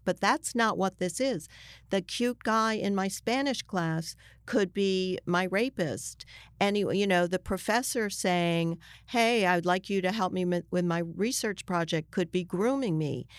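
An older woman, a journalist being interviewed, giving her opinions in a halting but emphatic way. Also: fairly low pitch, dry recording, studio quality.

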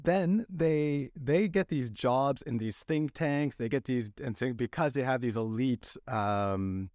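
A man's voice: medium pitch, slow, darkish tone, dry recording. Young guy hosting a podcast, earnest and rambly, mid voice, dry close mic. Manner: earnest, rambling, many restarts and fillers. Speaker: podcast host. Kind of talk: casual podcast interview.

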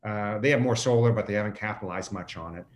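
A man's voice: fairly low pitch, fast, slight background noise. An American man answering as a guest expert, explaining things in a casual, conversational way.

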